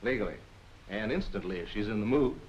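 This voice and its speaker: A man playing a formal military intelligence lecturer in a 1940s training film, speaking deliberately and emphatically, phrase by phrase. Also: fairly low pitch, animated, darkish tone, close to an everyday voice. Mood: dry. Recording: dull, hissy old film soundtrack.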